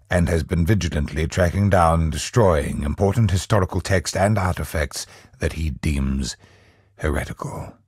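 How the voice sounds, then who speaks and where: Deep raspy tormented cosmic scholar for a game, grave n theatrical, dry close sound. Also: male voice, dry recording, exaggerated voice. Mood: worried, somber. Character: brooding male scholar turned godlike, tormented cosmic villain. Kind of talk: dramatic scripted video game monologue.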